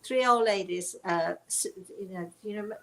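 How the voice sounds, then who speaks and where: A woman's voice: medium pitch, normal speed, a bit bright. An older woman with a British accent, hosting a casual, chatty online talk and rambling from one story to the next. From Britain. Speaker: host. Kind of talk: casual chat to online viewers.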